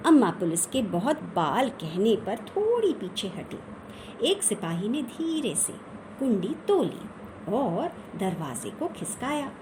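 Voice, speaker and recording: A woman reading a humorous children's story aloud in an expressive, deliberate storytelling manner. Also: a little high, background noise. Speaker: audiobook reader.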